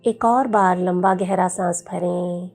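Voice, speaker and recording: Female meditation guide: very slow, soothing delivery, slightly creaky voice with a soft, breathy edge. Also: lowish voice, fairly even intonation, faint music behind. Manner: soothing, gentle, unhurried with long pauses. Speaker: yoga teacher leading guided meditation.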